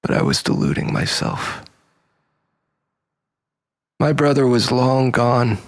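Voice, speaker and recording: A man's voice: medium pitch, sing-song, slow pace. A man playing a mournful, haunted brother in grief, delivering a brooding, poetic scripted monologue. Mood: grieving, wistful.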